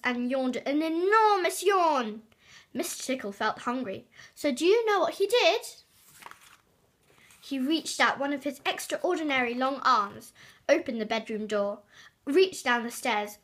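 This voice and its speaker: Woman, high-pitched, husky edge, expressive children's read-aloud, drawn-out key words. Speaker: storybook reader.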